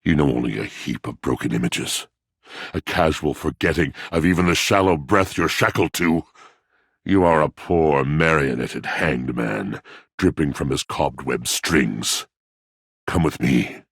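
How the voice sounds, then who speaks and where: Brooding, lovelorn game character: bottomless pitch, raspy grain, grandly poetic and mournful. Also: male voice, a little slow, dry recording, studio quality, full character voice. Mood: anguished, seductive. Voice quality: gravelly. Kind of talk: theatrical video game character monologue.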